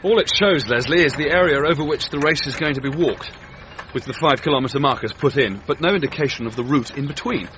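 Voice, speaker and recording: An actor playing an earnest, polite 1930s British race walker, articulate and understated, in a radio drama. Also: male voice, mid-range voice, fairly quick, a bit bright, lightly acted. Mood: concerned. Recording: dull radio recording, faint background noise.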